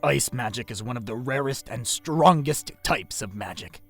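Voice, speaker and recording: A stern gravelly warrior mentor. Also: male voice, mid-range voice, expressive, fairly bright tone, faint music behind. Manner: grave, measured explaining. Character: solitary male warrior mentor, stern and guarded. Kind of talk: audio drama acting.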